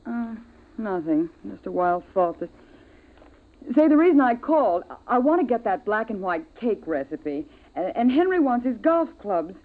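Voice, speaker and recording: An actress playing an anxious suburban mother, stern yet tender, in a 1950s radio drama with expressive, fretful delivery. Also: mid-range voice, moderate pace, slight background noise, close to an everyday voice. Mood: puzzled, worried. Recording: tinny, band-limited vintage radio recording.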